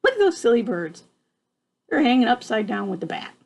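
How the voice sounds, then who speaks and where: A woman's voice: fairly high pitch, very animated, fairly quick, vocal fry, a little mellow. An older woman, a grandmother reading a picture book to children expressively, with playful, exclamatory asides.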